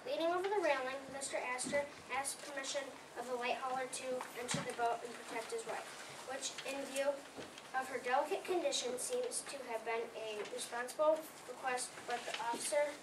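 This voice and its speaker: A woman's voice: high-pitched, fairly quick, distant mic. Woman reading aloud in an echoey room. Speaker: readers theater reader. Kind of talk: scripted dramatic reading. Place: library readers theater performance, indoors. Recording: echoey room from afar, noisy.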